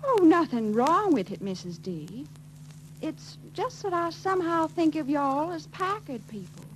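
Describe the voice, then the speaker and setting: An actress playing a chatty, sly young beauty parlor operator in 1940s film style. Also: female voice, sits high, very animated. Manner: drawn-out, knowing gossip. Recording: faintly noisy 1940s film soundtrack.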